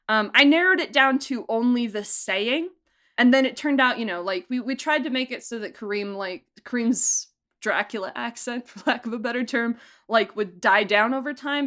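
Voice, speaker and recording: A woman being interviewed as an audio drama director, talking casually and enthusiastically like an animated storyteller. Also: medium pitch. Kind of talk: casual behind-the-scenes chat.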